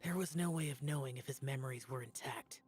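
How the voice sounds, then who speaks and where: A man's voice: medium pitch, fast, close to an everyday voice. Man playing a stoic, burdened young immortal warrior. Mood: grim. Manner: solemn, terse, formal. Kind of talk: video game voice acting. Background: game music.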